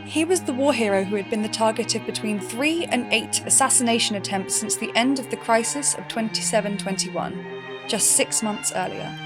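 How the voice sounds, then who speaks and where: An actress plays a measured woman historian in a sci-fi audio drama. Her voice sits fairly high and she explains events like a scripted documentary, over clearly audible music. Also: close to an everyday voice. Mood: measured, explanatory. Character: measured female historian recounting a future war.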